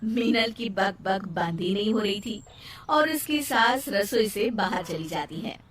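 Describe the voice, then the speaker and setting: A woman's voice: medium pitch, expressive, unhurried, far from the mic, noisy background. A woman narrating a scripted storybook tale.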